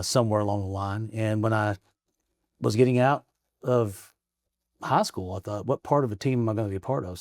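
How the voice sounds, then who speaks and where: A man's voice: medium pitch, darkish tone, no room sound, clean studio sound. A man in his forties from Arkansas, a guest in a casual interview, talking in a rambling way, restarting his stories and chuckling often. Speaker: guest, state representative. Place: office in the state capitol.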